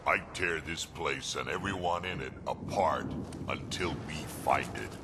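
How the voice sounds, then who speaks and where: Gruff alien warrior, rumbling fry, blunt threats. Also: male voice, unhurried, noisy background. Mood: scornful. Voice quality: deep gravelly voice. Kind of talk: video game dialogue.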